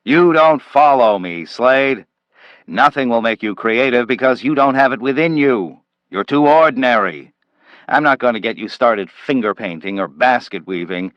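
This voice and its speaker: A man's voice: mid-range voice, lively intonation, moderate pace, no echo, muffled recording, lightly acted. A man voicing a brusque, intense head of a time-travel agency, speaking emphatically and impatiently in a story reading.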